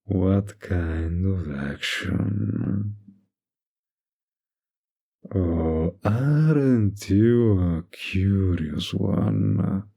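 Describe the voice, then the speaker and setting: Man playing a slow, husky flirty mafia boss. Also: deep voice, mellow, heavily acted. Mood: suggestive, sly.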